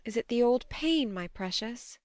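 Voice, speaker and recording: A woman voicing a blunt, affectionate young woman, a bossy elder sister in a storybook, with a brisk manner. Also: a little high, lively intonation, no room sound, clean studio sound, voice pushed up. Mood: tender concern.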